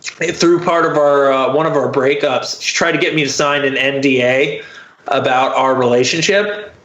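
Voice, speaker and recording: A young American man telling personal stories casually as a podcast guest, in a halting, candid, profane way full of fillers. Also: mid-range voice, steady tone, fairly bright tone, reverberant, dull audio.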